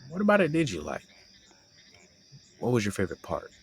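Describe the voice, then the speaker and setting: A man's voice: mid-range voice, huge pitch swings, brisk, a little hiss or hum. A young man playing a playful, teasing roommate with a hidden crush, chatting casually and sarcastically to the listener in an intimate roleplay audio. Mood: curious, fond. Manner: teasing, conversational, sarcastic banter.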